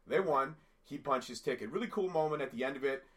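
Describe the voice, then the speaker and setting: A man with a voice gone raspy from a cold co-hosts a casual chat podcast. He talks at length and rambles in an animated way, with a pitch that sits in the middle for a man. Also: fast talker. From Canada. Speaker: podcast co-host.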